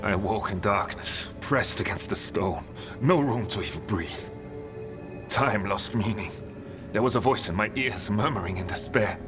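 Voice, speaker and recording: Man playing a solemn, low-voiced dwarf. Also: close to an everyday voice. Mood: haunted. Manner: solemn, formal, measured phrasing. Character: grave, formal dwarven man rescued from underground. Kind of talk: video game voice acting. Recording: music under the voice. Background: game music.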